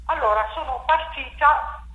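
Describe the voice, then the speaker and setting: A woman's voice: sits high, a little slow, narrow, tinny audio. A woman playwright and theatre director explaining her stage work in an interview, thinking aloud with frequent fillers and restarts. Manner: reflective, many fillers and restarts. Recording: echoey room with faint noise.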